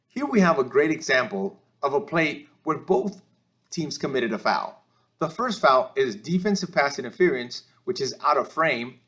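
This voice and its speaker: A man narrates a sports training film review as an instructor, explaining in a measured, slightly hesitant way. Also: medium pitch, fairly bright tone. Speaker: football officiating instructor.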